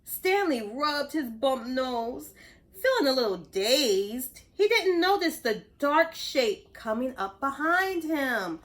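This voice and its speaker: A woman reading a story aloud to young children, expressive, pausing to explain things and ask simple questions. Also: high voice. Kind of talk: animated read-aloud narration.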